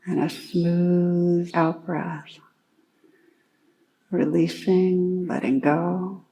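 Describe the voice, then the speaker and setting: A woman meditation teacher leading a guided meditation in a calm, unhurried way, gently instructing with long pauses. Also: fairly low pitch, low-quality recording.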